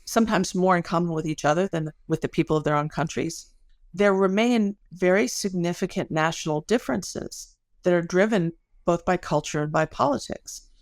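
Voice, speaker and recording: A woman's voice: lowish voice, normal speed, dry recording. A woman speaking as an academic guest in an interview, explaining research conversationally with everyday examples.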